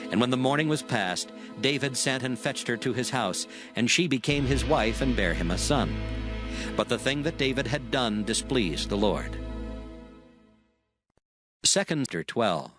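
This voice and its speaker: A male narrator reads scripture for an audio drama. His voice is mid-pitched and solemn, the delivery measured and scripted, with music playing clearly behind him. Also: animated.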